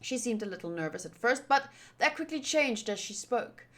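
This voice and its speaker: Bright-voiced woman streaming a game playthrough. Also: a little high, expressive, moderate pace. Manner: reacts out loud, exclamatory. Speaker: visual novel playthrough streamer. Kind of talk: gaming commentary and reading game text aloud.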